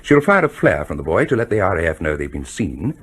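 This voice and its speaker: A self-assured military official in a radio play. His voice is husky and fairly low, measured and didactic, with big swoops of pitch. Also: very animated, fairly bright tone, exaggerated voice. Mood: composed, assured. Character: composed, self-assured male military official explaining a launch system. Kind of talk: broadcast radio drama acting.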